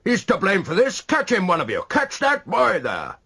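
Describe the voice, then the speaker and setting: A man voicing an angry middle-aged gentleman calling out to the crowd to catch a boy. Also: high voice, wildly swooping pitch, moderate pace, vocal fry, slightly rough, pitched above the performer's own voice, exaggerated voice. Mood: angry accusing. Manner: calling out, accusing.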